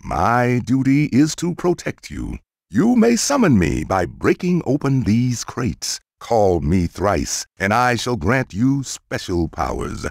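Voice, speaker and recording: Solemn guardian spirit, husky low voice, sweeping intonation, grand and formal, video game. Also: male voice, big pitch swings, takes it slowly, no room sound, studio quality, exaggerated voice. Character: wise, solemn, protective old magical mask spirit.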